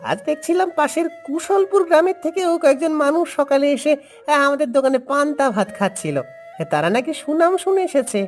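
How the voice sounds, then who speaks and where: A woman's voice: sits high, expressive, brisk, soft background music. A woman voicing a humble village father in a cartoon story, courteous and earnest. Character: humble village father running a new food stall.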